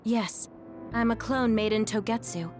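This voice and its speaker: A woman's voice: a little high, measured pace, a little mellow, soft background music, lightly acted. An actress playing a solemn young female clone in an English game dub, with a formal way of speaking.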